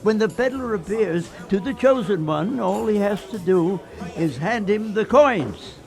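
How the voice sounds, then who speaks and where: An actor playing a cagey old local man who knows a legend, halting and folksy in a radio play. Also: high voice, animated, gravelly, darkish tone, heavily acted. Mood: cagey, knowing.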